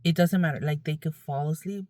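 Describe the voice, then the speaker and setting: A woman's voice: low voice, brisk, dark tone, no echo, clean studio sound. A woman vlogger talking casually to her viewers in a candid, rambling, conversational way.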